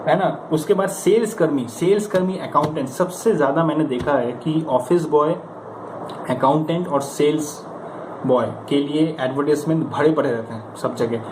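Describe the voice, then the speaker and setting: A man's voice: fairly high pitch, normal speed. An Indian man giving an informal motivational lesson as a coach, in a conversational, emphatic and coaxing manner. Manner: conversational, emphatic, coaxing, with rhetorical questions and acted-out examples. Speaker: stammering coach giving practice advice. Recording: echoey room with clear background noise.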